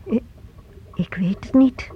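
A woman's voice: on the high side, lively intonation, slow, slight background noise. An actress in a Dutch radio play playing an anxious, evasive middle-aged mother held captive by her son, hesitant, stammering and placating. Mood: nervous, placating. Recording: muffled old radio play recording.

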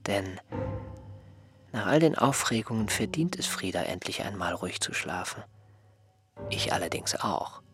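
A man's voice: low-pitched, lively intonation, measured pace, pauses often, a bit bright, husky, faint music behind, full character voice. An actor playing an aggrieved male assistant who is no longer young, complaining reproachfully in a radio play. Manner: reproachful, complaining, mildly mocking. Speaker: surveyor's assistant.

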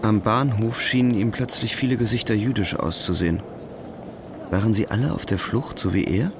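A man's voice: lowish voice, normal speed, heavy background noise. A man reading scripted literary narration in German as the narrator of a drama.

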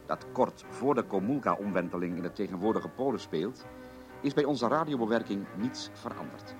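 A man giving a formal, scripted introduction to a radio play in a solemn, measured manner. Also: lowish voice. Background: music.

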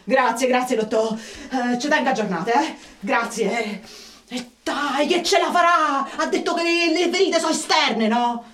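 High-voiced, placating Roman, echoey. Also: female voice, unhurried, a bit bright. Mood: worried, calming. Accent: Roman Italian. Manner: colloquial, coaxing, ends in tag questions. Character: placating Roman woman, defensive and level-headed. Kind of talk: radio drama acting. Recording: echoey room.

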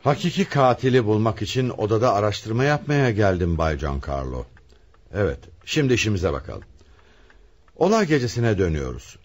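An actor playing a brisk, authoritative police commissioner interrogating suspects in a radio play. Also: male voice, lowish voice, big pitch swings, slightly rough. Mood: probing. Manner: commanding, deliberate, theatrical.

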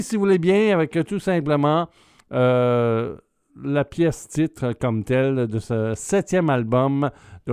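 A male radio host with a smooth, resonant voice, recorded dry and close. He presents an album in an easygoing, conversational way. He often pauses on hesitations mid-sentence. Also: medium pitch, a little mellow, no room sound.

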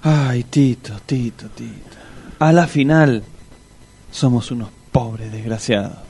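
A man's voice: mid-range voice, slow, noisy background. An actor playing a simple, earnest, complaining Argentine man in a comic radio play, rambling colloquially. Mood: dejected. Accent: Argentine, Rioplatense.

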